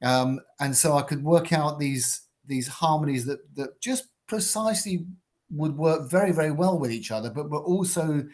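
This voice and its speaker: An older man from Britain, a guitarist and producer, giving thoughtful, rambling interview answers in a fairly low voice with many fillers. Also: moderate pace, a little mellow.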